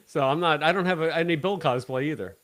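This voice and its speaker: A man's voice: on the high side, quick pace, a bit bright. Blunt man chatting as podcast co-host. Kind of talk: casual chat, episode discussion.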